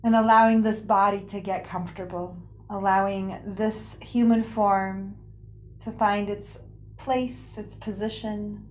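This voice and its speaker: A woman leading a guided relaxation practice in an unhurried, soothing manner, pausing often between phrases. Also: medium pitch, steady tone, a little mellow, a little hiss or hum, rough recording. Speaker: guided meditation teacher. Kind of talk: guided yoga nidra relaxation.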